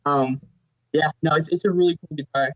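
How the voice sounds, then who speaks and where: A young man, a guitarist, chatting casually as an interview guest in a relaxed, easygoing way, often saying man and dude. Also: fairly quick, highs cut off. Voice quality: high voice. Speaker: touring session guitarist, guest.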